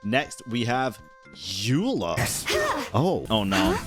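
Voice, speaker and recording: A man's voice: on the low side, sing-song, very slow, soft background music. A man giving casual, livestream-style gaming commentary as host, blunt and sweary, often breaking into exasperated exclamations. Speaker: host of a gaming ranking video.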